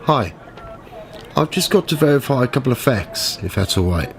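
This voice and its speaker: A man's voice: mid-range voice, lively intonation, normal speed, a little hiss or hum, natural voice. A man in his fifties playing a cynical, world-weary journalist in a radio play, with a wry, dry manner. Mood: politely persistent. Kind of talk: radio play narration and dialogue.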